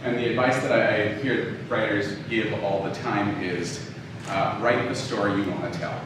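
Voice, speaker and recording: Male speaker, informal lecture, halting conversational delivery, heavy room echo, distant noisy recording. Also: lowish voice, steady tone. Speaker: lecturer, audio drama writer and producer. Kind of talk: informal lecture with personal anecdotes. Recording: very echoey room, distant mic, clearly noisy.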